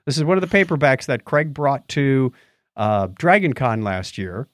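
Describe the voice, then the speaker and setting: A man's voice: medium pitch, big pitch swings, normal speed, no room sound, studio quality. A middle-aged or older man co-hosting a podcast, casually reviewing things in a rambling way and groping for names. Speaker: podcast co-host. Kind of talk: casual movie and book review chat.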